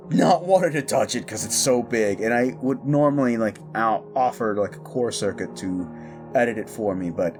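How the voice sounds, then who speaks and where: Rambling, informal man chatting over music. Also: lowish voice. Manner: rambling, informal, self-interrupting. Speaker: audio roleplay creator and host. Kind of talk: casual chat to listeners.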